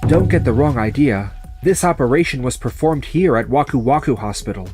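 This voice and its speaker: A man playing a calm, resolute young surgeon turned farmer in a manga dub, speaking in a measured, firm way. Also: mid-range voice, music in the background, close to an everyday voice. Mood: firm, accusing.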